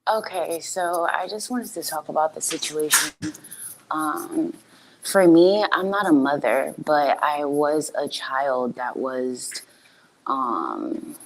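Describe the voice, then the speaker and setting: A young woman from Haiti calling in to a show, speaking hesitantly with frequent pauses and ums. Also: deep voice, animated, noisy background. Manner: hesitant, many ums, soft-spoken. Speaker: caller.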